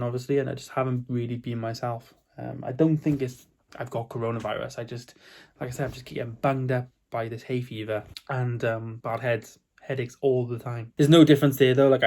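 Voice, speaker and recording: Young british dude vlogging at home, stuffy nose from hay fever, rambly chat full of ums and likes. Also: male voice, darkish tone. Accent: British English. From United Kingdom. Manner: rambling, filler-heavy, low-key. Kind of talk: casual chatty vlog. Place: at home, indoors.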